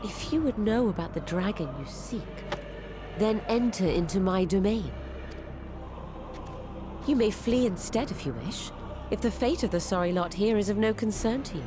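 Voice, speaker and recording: A woman's voice: medium pitch, normal speed, background noise, lightly acted. A woman playing a haughty, predatory female fiend in a video game, speaking with imperious, theatrical disdain. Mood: predatory relish. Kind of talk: scripted video game voice acting.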